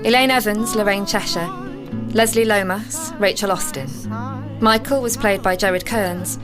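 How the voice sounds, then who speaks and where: A woman's voice: medium pitch, measured pace. Woman announcer over music. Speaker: continuity announcer. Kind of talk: scripted radio credits.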